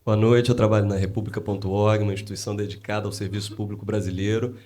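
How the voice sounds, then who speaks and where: A man's voice: fairly low pitch, level tone, fast, warm tone. A man in an audience introducing himself and putting a concise question to a panel. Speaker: audience questioner from a public service nonprofit. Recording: faint background noise.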